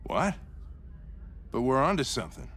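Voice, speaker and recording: An American man playing a gruff, stubborn middle-aged police lieutenant in a video game, blunt and emotional. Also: mid-range voice, very animated, moderate pace, a bit bright, a little hiss or hum. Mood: angry, pleading. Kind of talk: cinematic video game acting.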